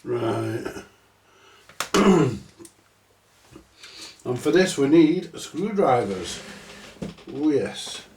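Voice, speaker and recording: Slow, stuffy-nosed middle-aged man. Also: medium pitch, very animated, darkish tone, echoey room, slight background noise. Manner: slow, rambling, sweary, self-mocking. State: stuffy, snotty from a cold. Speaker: repair channel host. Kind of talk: casual workshop tutorial. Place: small cluttered electronics workshop, indoors. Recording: distant from the mic.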